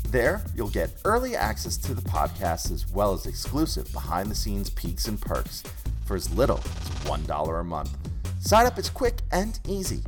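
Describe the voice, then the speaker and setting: Deep male promo read over music. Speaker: podcast promo announcer. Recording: loud music bed under voice.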